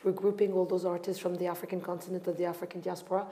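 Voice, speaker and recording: A woman's voice: on the low side, flat delivery, racing, mellow. A woman from Morocco speaking reflectively as a founder taking part in a panel discussion. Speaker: art fair founder on a panel.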